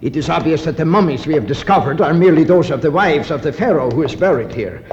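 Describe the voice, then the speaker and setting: An actor playing an eager, proud archaeologist in a 1950s radio drama, with earnest, formal diction. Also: male voice, fairly high pitch, animated, fast talker, a little mellow, natural voice. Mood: awed, excited. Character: eager, proud male archaeologist, a learned doctor. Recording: muffled, noisy 1950s radio recording, reverberant.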